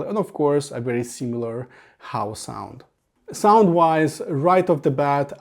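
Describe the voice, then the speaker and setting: Gravelly Romanian-accented man reviewing headphones. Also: medium pitch, a little mellow. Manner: earnest, methodical, with frequent uh fillers. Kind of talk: scripted product review voice-over.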